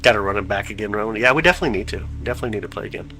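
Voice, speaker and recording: A man's voice: on the low side, animated, racing, bright, forceful tone, soft background music. A man hosting a live telescope stream, chatting casually with viewers in a laid-back, slangy way, his voice stuffed up from congestion. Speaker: livestream host of telescope views.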